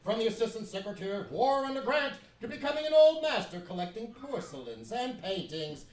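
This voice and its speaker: An actor playing an irascible, cynical 19th-century American newspaper editorialist in a theatrical, sardonic stage radio play. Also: male voice, extremely high pitch, sing-song, brisk. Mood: irritated, blustering. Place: playhouse stage. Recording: echoey hall, distant mics, faint noise.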